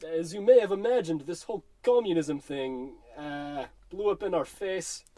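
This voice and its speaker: A man's voice: fairly high pitch, moderate pace, warm tone, no echo, lightly acted. An actor playing a solemn, polite old Russian grandfather with a Russian accent, telling his tale grandly in a radio comedy. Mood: mournful, solemn.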